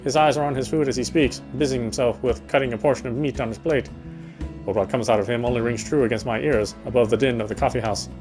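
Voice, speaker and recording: A man's voice: mid-range voice, fairly even intonation, quick pace, music in the background. A man reading first-person visual novel text aloud as narration, stumbling now and then over words.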